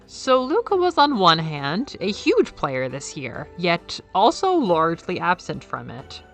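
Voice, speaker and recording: A young woman YouTuber talking casually in a soft, airy, slightly nasal voice, excitable and rambling, her pitch leaping up when she quotes people. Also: measured pace, faint music behind. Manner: enthusiastic, excitable, jumps up in pitch when quoting; rambling with fillers and repeated words. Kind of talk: casual video essay.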